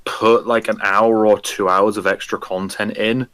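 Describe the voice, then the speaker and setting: Low-voiced man, hesitant and informal. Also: flat delivery, a little slow, a bit bright, dry recording. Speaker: podcast panellist. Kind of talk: casual group discussion.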